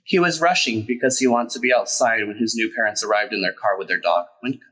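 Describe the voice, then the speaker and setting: A man reading a children's picture book aloud as a storyteller, in an expressive, deliberate way with emphasized words. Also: fairly low pitch, low-quality recording. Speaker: storyteller, drag performer.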